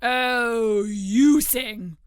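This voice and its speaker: A woman voicing a bossy, excitable storybook beast with loud, emphatic exclamations. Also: fairly high pitch, drawn-out words, dry recording, studio quality, voice pushed up.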